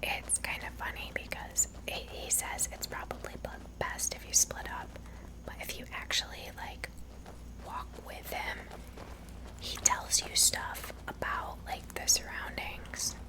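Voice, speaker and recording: A woman's voice: measured pace, poor audio. A woman whispering casual ASMR commentary as she plays a video game, musing aloud. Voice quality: whispered, unvoiced. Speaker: ASMR gamer.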